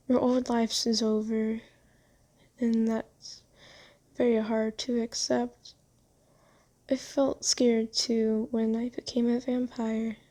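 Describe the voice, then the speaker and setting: Woman as a slow, gentle lady vampire. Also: fairly high pitch. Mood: tender, comforting, then somber, confiding. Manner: gentle, coaxing, halting delivery. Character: kind, possessive female vampire owner, soothing and genteel. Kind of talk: ASMR roleplay, scripted monologue.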